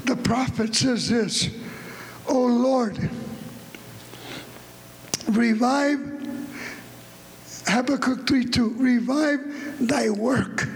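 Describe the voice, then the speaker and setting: A man with a very high voice preaches slowly and deliberately, hammering the same phrase again and again and climbing into shouts. His voice rings out with a long reverb in a big church hall. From United States. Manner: fervent, repetitive, rising to shouts. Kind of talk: shouted revival sermon.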